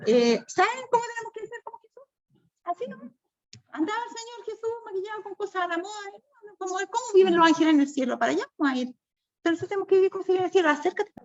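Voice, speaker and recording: A woman's voice: very high-pitched, expressive, rapid-fire, muffled recording. A woman leading a church Bible study, speaking in a firm, preachy yet chatty way.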